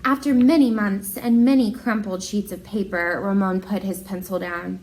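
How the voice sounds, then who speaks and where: A woman teacher with an expressive, encouraging manner, reading a picture book aloud and talking directly to young pupils. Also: mid-range voice, normal speed, slight background noise, rough recording.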